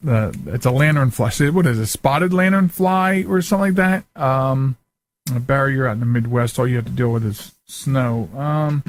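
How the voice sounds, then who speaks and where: Middle-aged man, slightly high voice, talk show host, casual rambling delivery, digressive, breaks off his own sentences. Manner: chatty, digressive, self-interrupting.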